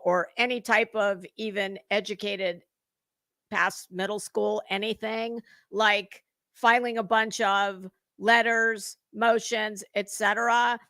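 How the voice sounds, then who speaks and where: A woman hosting a gossip commentary show, reading aloud dramatically and commenting with blunt, scornful sarcasm and emphatic, drawn-out words. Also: mid-range voice, a bit bright, no echo.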